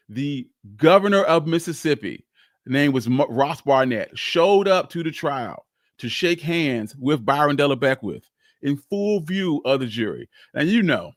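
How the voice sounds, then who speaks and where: A man's voice: mid-range voice, dry recording, clean studio sound. A man hosting a live history talk, telling the story emphatically and repeating phrases for weight. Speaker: host of a history show.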